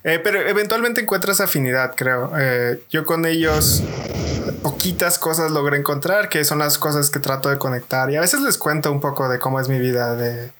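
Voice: mid-range voice, normal speed. Co-host, faint hiss. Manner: storytelling, laughing, animated in anecdotes. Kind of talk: casual chat.

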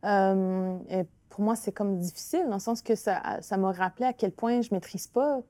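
A woman with a Quebec French accent and Innu as her first language, a guest author speaking thoughtfully with many pauses and restarts in an interview. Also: mid-range voice, fairly even intonation, warm tone, no echo. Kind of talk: reflective conversational interview.

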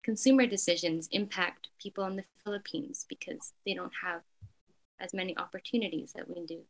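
Young woman interviewee, slightly low voice, hesitant and filler-heavy. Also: a little fast, gravelly, low-quality recording. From Canada. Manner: frequent "like" fillers, soft and hesitant. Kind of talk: casual reflective interview.

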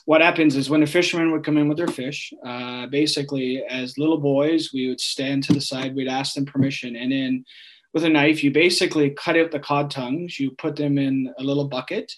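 A man, chatting casually as a guest in an interview, relaxed, with frequent laughs and many fillers. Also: mid-range voice, brisk. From Newfoundland, Canada. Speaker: food bank guest.

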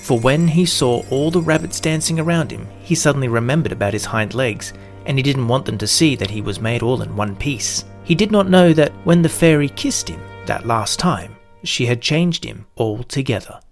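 Calm male storybook narrator. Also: mid-range voice, moderate pace, faint music behind.

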